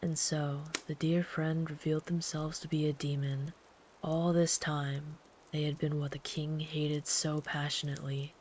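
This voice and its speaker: A woman plays a kind traveler soothing someone to sleep in a bedtime ASMR roleplay. The voice is gentle and protective, often sinking into a whisper, with slow pacing and a very even, narrow pitch. A faint hiss sits under the recording. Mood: hushed, storytelling. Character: kind, protective traveler comforting a runaway by a campfire.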